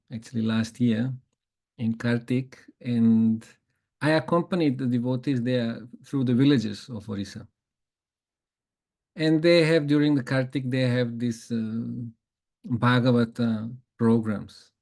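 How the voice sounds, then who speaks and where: Hey so a guy giving a relaxed spiritual talk, medium pitched voice, chatty, keeps tacking on little "no?" questions. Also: creaky. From Germany. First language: Bosnian. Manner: conversational, chuckles often, frequent tag questions. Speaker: spiritual teacher.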